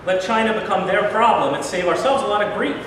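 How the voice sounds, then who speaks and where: A man's voice: high voice, lively intonation, quick pace. The sound is distant and noisy, ringing with heavy echo. A man acts out an irritable, plain-spoken president in a staged reading, blunt and short on patience. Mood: troubled. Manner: blunt, impatient, testy. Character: irritable, plain-spoken American president, worried about war casualties. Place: live reading in a large echoing room. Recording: very echoey noisy hall, from far off.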